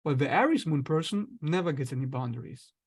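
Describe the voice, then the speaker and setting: A man with a somewhat high voice talks through ideas as a guest in conversation. He explains steadily and slips into short acted-out inner thoughts to make his points. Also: lively intonation, brisk, husky. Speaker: astrologer guest.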